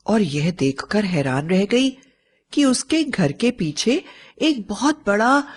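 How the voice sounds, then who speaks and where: A woman narrating a scripted story as a storyteller, in a measured, dramatic manner. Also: mid-range voice.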